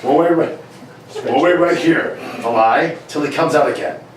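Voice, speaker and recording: A man's voice: on the high side, normal speed, lightly acted. A man playing a brusque, impatient police inspector in an amateur 1940s-style radio play, barking questions and orders. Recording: echoey room, distant mic, faint noise.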